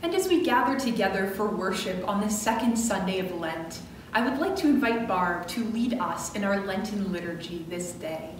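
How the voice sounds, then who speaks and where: A woman pastor leading prayer and worship in a measured, reverent way. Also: medium pitch, animated. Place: medium-sized church sanctuary, indoors. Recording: echoey church, distant mic, clearly noisy.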